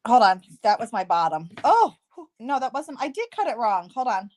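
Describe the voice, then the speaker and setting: A woman hosting a casual card-making tutorial, chatty and self-deprecating, slightly hoarse with a stuffy nose. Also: fairly high pitch.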